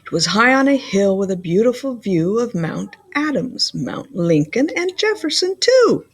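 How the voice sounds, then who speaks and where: A woman reading a children's picture book aloud as a school librarian, with deliberate, playful emphasis. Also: a little high, very animated.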